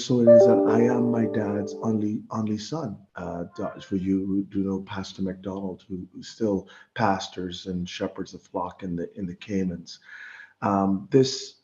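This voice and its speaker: A middle-aged man from the Bahamas giving an informal religious talk online, speaking haltingly and often repeating words as he goes. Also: on the low side, mellow, lo-fi sound. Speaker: guest presenter. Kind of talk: informal religious lecture over an online meeting.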